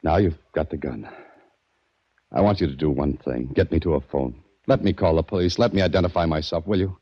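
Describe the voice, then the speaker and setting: A man's voice: very deep voice, fairly quick, breathy edge, dry recording, heavily acted. An American actor playing a hunted innocent man on the run in a 1940s radio mystery, terse and desperate, with clipped hard-boiled delivery. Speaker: lead actor and first-person narrator. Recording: muffled, noisy 1940s radio recording.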